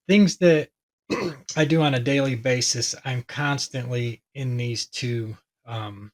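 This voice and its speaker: A man with a hoarse voice talking casually and ramblingly as he explains a tech topic to his viewers, with many fillers. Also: mid-range voice. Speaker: tech video host.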